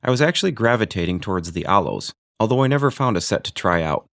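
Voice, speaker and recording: A man's voice: lowish voice, animated, fast talker, creaky, a bit bright. A man hosting a gear review video, narrating from a script with dry, joking asides.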